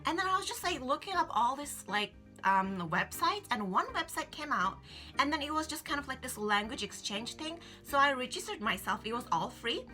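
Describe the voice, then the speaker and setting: Bright chatty woman, English. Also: high voice, big pitch swings, normal speed. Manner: chatty, many fillers like "like" and "you know". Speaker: vlogger. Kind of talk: casual advice vlog. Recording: faint music behind.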